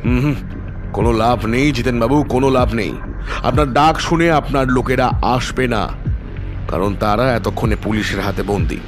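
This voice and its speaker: A man playing a calm, self-assured detective in an audio story, explaining things deliberately and without fluster. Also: medium pitch, over music, lightly acted. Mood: smug, assured.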